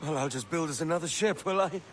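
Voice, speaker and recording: An actor playing a brash, mocking young male pirate in a video game, forceful and taunting. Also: fairly high pitch, fast talker, slight background noise, natural voice. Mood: sardonic. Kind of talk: cinematic game dialogue.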